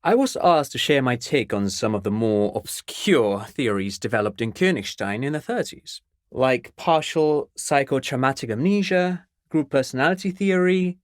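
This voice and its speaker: An actor playing a chatty, courteous amateur academic in a video game, explaining things precisely like an eager enthusiast. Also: male voice, mid-range voice, animated, moderate pace, no echo, studio quality, lightly acted. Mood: earnest, scholarly. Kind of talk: game character dialogue.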